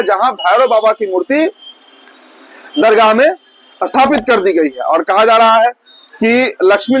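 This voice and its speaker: A male news presenter with a strikingly high voice for a man. He speaks conversationally, explaining each item to the audience and restating phrases as he goes. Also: fairly bright tone, rough recording. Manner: conversational, explanatory, repeats and rephrases points. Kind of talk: informal news commentary addressed to viewers.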